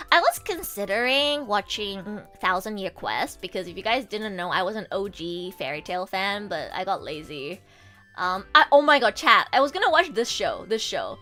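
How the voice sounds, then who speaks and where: Woman livestreamer, casual chat at a home desk mic, slightly sore throat; bright, bouncy, high voice. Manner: chatty, animated, casually swearing, addresses chat. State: sore throat, coming down with a cold. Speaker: VTuber streamer and voice actor.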